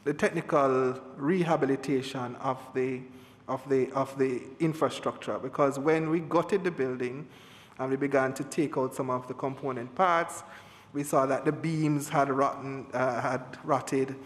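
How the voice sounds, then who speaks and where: A man, an official explaining things to a committee in a halting way, repeating words, in a reverberant space. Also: medium pitch, steady tone. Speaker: government official. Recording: reverberant hall.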